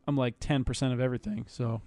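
A man's voice: medium pitch, steady tone, quick pace, dark tone, no echo. A young man co-hosting a podcast, goofy and joking, laughing often. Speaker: podcast co-host. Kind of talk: casual podcast chat.

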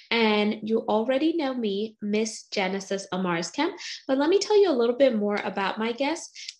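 A woman hosts a podcast interview, bubbly and playful with a sing-song lilt. Her voice sits fairly high. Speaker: podcast host.

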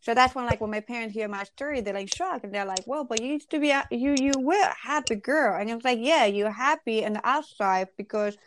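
A woman's voice: a little high, a little fast, hardly any pauses, a bit bright, a little hiss or hum. A woman in her forties from Ecuador, a relationship and intimacy coach, speaking earnestly and reflectively as a podcast guest. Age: 40s.

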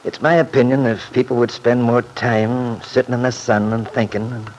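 An actor playing a plainspoken, opinionated middle-aged Scottish country lawyer in an old-time radio drama, folksy and firm. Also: male voice, medium pitch, steady tone, moderate pace, close to an everyday voice. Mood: genial. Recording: noisy vintage radio recording.

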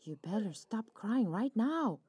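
A woman voicing a village mother in a children's story, warning and cajoling her child in a hushed way. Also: medium pitch, sing-song, unhurried, background noise.

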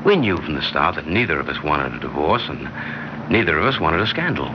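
Cheating husband, male: deep, uneasy, conscience-stricken, vintage radio drama on a muffled recording. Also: sing-song, fairly quick. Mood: assured, intimate. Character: uneasy, conscience-stricken married man having a secret affair. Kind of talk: classic radio drama acting. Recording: noisy band-limited old radio recording, vehicle sound.

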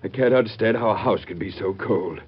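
A man's voice: fairly low pitch, a little fast, husky. An American man playing a querulous, dryly pessimistic Secretary of State in an old-time radio drama. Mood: complaining. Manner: querulous, dry, gloomy. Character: grumbling, pessimistic Secretary of State bundled against cold. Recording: muffled old radio show recording.

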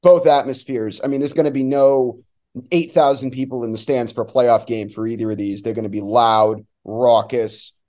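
A man who works as a sports announcer, giving casual, somewhat halting analysis as a guest on a talk show. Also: medium pitch, fairly even intonation, dark tone.

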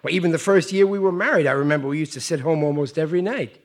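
An actor playing a complacent, blustery working-class American husband around forty in a classic radio sitcom. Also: male voice, a little high, racing, close to an everyday voice. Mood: defensive. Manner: blustery, plain-spoken, folksy. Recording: noisy vintage radio recording.

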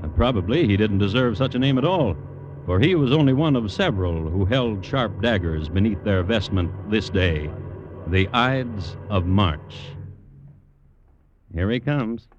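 Low-voiced, dry radio narrator. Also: male voice, animated, dull audio. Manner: dry, deliberate, measured. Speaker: host and narrator. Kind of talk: 1950s radio scripted narration. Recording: hissy old radio recording.

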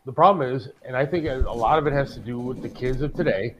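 A man's voice: mid-range voice, quick pace. A middle-aged American man co-hosting a talk show, griping casually and emphatically, with some swearing. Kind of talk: casual, opinionated chat.

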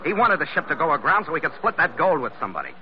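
A tough, wisecracking sea captain in old-time radio style. He is clipped and commanding, with a fairly high voice. The recording is muffled and noisy. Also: huge pitch swings, rapid-fire. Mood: urgent, commanding. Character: tough, wisecracking ship captain narrating his adventure. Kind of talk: 1940s radio adventure acting and narration.

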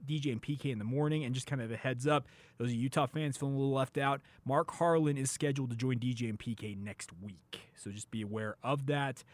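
An American man hosts a casual sports talk show on the radio. His mid-pitched voice is recorded close in a dry studio, and he talks in a loose, conversational way. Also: no echo.

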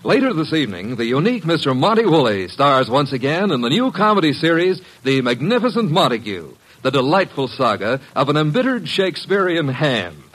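A man's voice: a little high, sing-song, brisk, few pauses, fairly bright tone, low-quality recording. A man announcing a 1950s radio show in a dramatic, scripted style.

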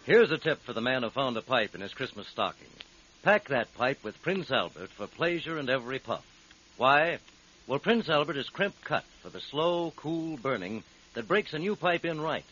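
A man delivers a 1940s radio commercial like a folksy salesman. His voice is raspy and swings widely in pitch. The recording is dull and muffled. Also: sing-song, moderate pace, a bit bright. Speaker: commercial announcer. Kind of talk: scripted 1940s radio advertisement.

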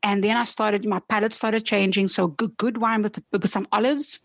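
A woman's voice: mid-range voice, normal speed, creaky, no room sound. A South African woman whose first language is Afrikaans, chatting as an interview guest in a playful, laughing way. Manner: lively and playful, laughs often. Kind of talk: casual interview chat.

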